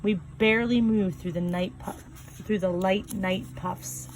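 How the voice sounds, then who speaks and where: A woman reading a first-person novel aloud in a calm, measured storytelling voice, slowing down on key phrases. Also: mid-range voice, a little hiss or hum, poor audio. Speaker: reader.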